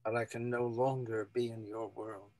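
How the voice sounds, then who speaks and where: A man giving a dramatic, measured reading of a literary text. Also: on the low side, level tone, darkish tone.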